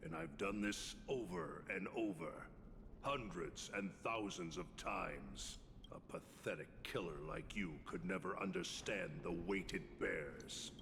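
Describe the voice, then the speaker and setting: An actor playing a proud, fearless veteran shield warrior in a video game, declaiming with resolve. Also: male voice, sits low, a little slow, gravelly, background noise. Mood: defiant. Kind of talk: dramatic game voice acting. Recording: reverberant hall, loud music behind.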